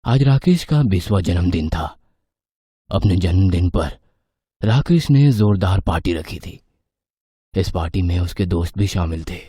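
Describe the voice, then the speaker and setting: A man with a husky voice reading scripted horror story narration. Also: sits low, expressive, unhurried, long pauses, dark tone, dry recording, studio quality.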